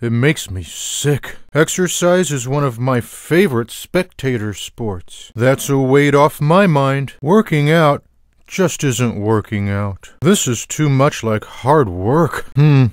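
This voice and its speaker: A lazy, food-loving cartoon house cat for a video game. He speaks at a slow, unhurried pace in a middling male pitch, tossing out sarcastic remarks in a deadpan way. The sound is dry, with no room around the voice. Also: dry recording. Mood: weary, wry. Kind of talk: scripted video game character lines.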